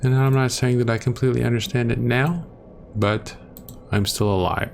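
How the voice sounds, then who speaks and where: A man's voice: mid-range voice, steady tone, normal speed, gravelly, a little mellow, slight background noise. A man hosting a gaming channel, talking through his gameplay in a rambling, self-deprecating way on a close desktop microphone at home. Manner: rambling, self-deprecating, thinks aloud while playing. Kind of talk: casual gameplay commentary.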